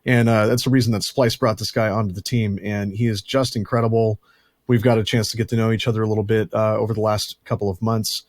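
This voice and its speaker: A man's voice: fairly low pitch, little pitch movement, quick pace, no echo, clean studio sound. A man hosting a podcast who talks in a rambling, chatty, self-deprecating way. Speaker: podcast host. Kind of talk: casual podcast chat.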